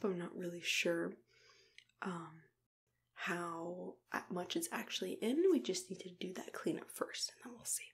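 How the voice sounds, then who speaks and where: A young woman in a soft, unhurried whisper, playing a gentle, reassuring dentist in an ASMR roleplay, close to the mic. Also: fairly low pitch. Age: young adult. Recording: clear background noise and room ambience.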